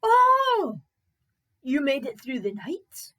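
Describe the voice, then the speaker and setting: A woman's voice: medium pitch, animated, slow pace, a bit bright, slightly rough, full character voice. A woman voicing a kindly, easily astonished male servant in a folk tale, earnest and solicitous. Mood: amazed.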